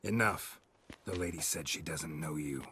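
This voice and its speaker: Game casting: a laconic, protective monster hunter, middle-aged, gruff, dry and exceptionally low-pitched. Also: male voice, moderate pace, bright, forceful tone, slight background noise, heavily acted. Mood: curt. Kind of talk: video game character acting.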